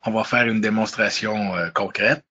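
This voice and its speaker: Congested Quebec French man, husky voice. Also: lowish voice, steady tone, normal speed, pushed and bright, dull audio. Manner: hesitant, many fillers and restarts. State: congested with a cold. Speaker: webinar presenter. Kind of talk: casual explanatory webinar.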